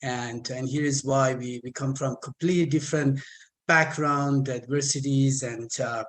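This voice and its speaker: A man in his fifties from Iran, speaking with an Iranian accent and halting, repeated words as he gives a personal talk as a guest speaker. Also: medium pitch, fairly even intonation, a bit bright. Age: 50s. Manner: halting, stammered repetitions.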